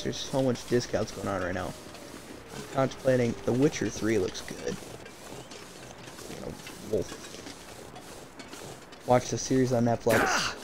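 A man casually commenting while he plays a game, in a calm, fairly monotone voice that drifts into rambling asides. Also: medium pitch, gravelly, noisy background. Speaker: gameplay streamer.

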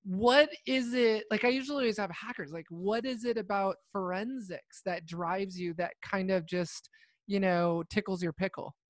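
A woman's voice: medium pitch, normal speed, no room sound. An American woman in her thirties hosting a casual interview, bubbly and enthusiastic, on a studio microphone. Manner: bubbly, enthusiastic, laughs a lot. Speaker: host. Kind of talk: casual video-call interview. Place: indoor studio. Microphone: large studio mic.

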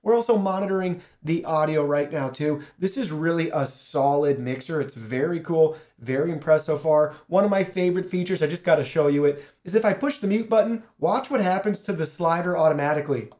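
A man in his thirties with a slightly high voice talks viewers through gear and software. His delivery is casual, upbeat and explanatory, like a friendly product overview, picked up on a studio shotgun mic. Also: a little fast, hardly any pauses. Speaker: product reviewer and host. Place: small indoor studio. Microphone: shotgun studio microphone through an audio mixer.

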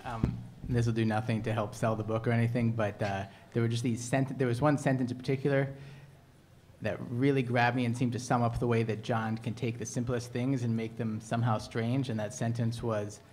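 A man editor on a panel makes wry, self-deprecating remarks at a mid pitch. He speaks into a handheld mic in a large hall, with faint noise behind him. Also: little pitch movement, brisk, darkish tone.

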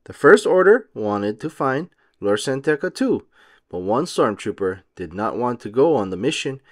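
A man narrating a children's storybook aloud, phrasing each short sentence deliberately for young listeners. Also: medium pitch, big pitch swings.

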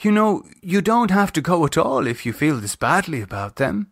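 A man's voice: a little high, sing-song, normal speed, dry recording, studio quality, pitched above the performer's own voice. A man voicing a worried, caring woman in an audiobook, questioning earnestly with some reproach. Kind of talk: audiobook character dialogue.

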